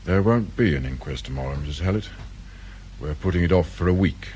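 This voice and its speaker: A man acting a calm, probing police inspector with a British accent in a radio crime drama, speaking in a measured, politely firm way. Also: low-pitched, a little hiss or hum.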